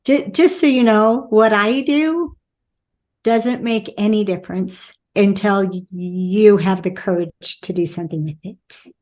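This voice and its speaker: An American woman speaking as a guest relationship expert, emphatic and quick to laugh. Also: medium pitch, darkish tone. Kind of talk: casual interview talk.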